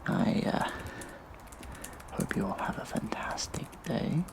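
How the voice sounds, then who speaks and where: An actor playing a smug, vengeful hacktivist in an audio drama, speaking in a breathy, sinister, mock-casual hush. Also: male voice, slow pace, lightly acted. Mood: smug farewell. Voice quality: breathy, whispery, gravelly creak. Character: vengeful gleeful hacktivist vigilante man. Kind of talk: audio drama monologue, addressed to viewers.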